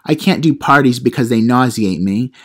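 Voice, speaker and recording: A man's voice: medium pitch, moderate pace, fairly bright tone, lightly acted. A man voicing a reluctant, anxious teenage girl in a comedy audio play. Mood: reluctant, flustered.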